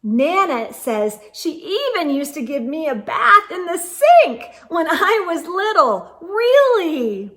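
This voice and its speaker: A young woman reading a children's picture book aloud, gentle and expressive, with soft hums and asides to young listeners. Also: extremely high pitch, slow, few pauses. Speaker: children's storyteller.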